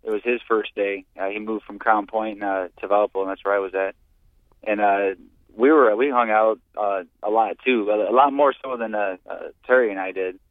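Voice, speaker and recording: A low-voiced American man reminisces casually as a radio caller. His delivery is halting, with stammered, repeated words. The sound is narrow and phone-like, dry and without room echo. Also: steady tone. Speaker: radio call-in listener. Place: on a phone call to a radio studio. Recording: muffled phone-like call-in line.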